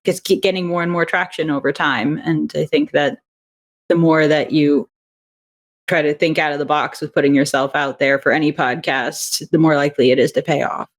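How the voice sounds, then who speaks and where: A woman talking casually as an interview guest, thoughtful and animated, often peppering her explanations with "like". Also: sits low, moderate pace. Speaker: guest, audio drama writer and director.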